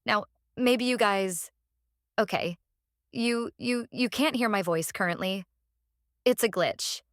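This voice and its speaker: A woman who speaks English as a second language hosts a step-by-step software tutorial in a halting, repetitive instructional way. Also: mid-range voice, no echo, clean studio sound. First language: non-native English speaker. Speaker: tutorial host.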